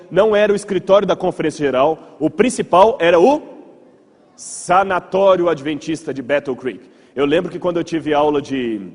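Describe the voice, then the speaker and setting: Brazilian guy pastor giving a chatty lecture, kinda high slightly rough voice, repeats words for emphasis, big echoey hall. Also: darkish tone. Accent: Brazilian Portuguese. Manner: conversational, asks the audience rhetorical checks, repeats words for emphasis. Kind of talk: illustrated lecture to a congregation, informal storytelling. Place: large hall with audience. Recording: reverberant hall, slightly muffled voice.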